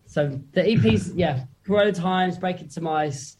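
A man's voice: sits high, moderate pace, heavy background noise. A man with a New Zealand accent, a musician chatting casually as an interview guest, laid-back and full of slang and fillers.

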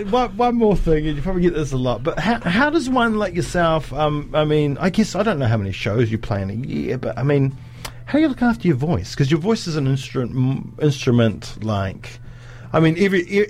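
A man's voice: fairly high pitch, big pitch swings, brisk, a little mellow, a little hiss or hum. A man interviewing a guest, asking rambling questions and agreeing often. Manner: rambling, frequent agreeing fillers. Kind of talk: conversational interview.

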